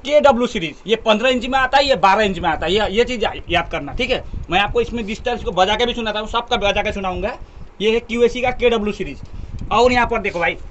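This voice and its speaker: A man's voice: very high voice, a bit bright. A young man from India casually presenting products to camera as a video host, emphatic and repetitive, addressing viewers like brothers. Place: indoor electronics showroom.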